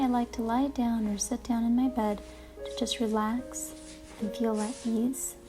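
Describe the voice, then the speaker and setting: High-voiced woman guiding relaxation over music. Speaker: guided relaxation host. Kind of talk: soothing guided relaxation narration. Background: music playing behind the voice.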